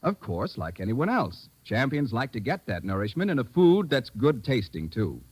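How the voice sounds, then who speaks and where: An American man delivers a scripted vintage radio ad. His pitch moves around a lot and his manner is folksy. The sound is dry and close. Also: sing-song, moderate pace, darkish tone, slightly rough, no echo. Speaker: radio announcer reading sponsor ad.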